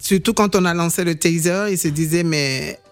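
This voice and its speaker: A woman's voice: fairly low pitch, normal speed, soft background music. A woman singer being interviewed in a radio studio, explaining her views earnestly and repeating herself for emphasis. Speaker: singer and guest.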